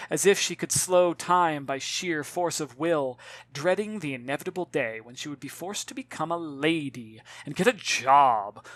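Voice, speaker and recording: A narrator reading a children's novel as expressive audiobook storytelling, dramatic and clearly articulated, with wry asides. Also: medium pitch, no echo. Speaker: author reading own novel.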